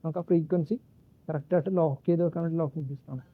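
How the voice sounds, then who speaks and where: A man giving a casual, unscripted gadget walkthrough in a halting way, searching for words and correcting himself. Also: on the high side, steady tone, husky. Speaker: gadget reviewer and demonstrator. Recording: muffled phone-like narrow-band sound.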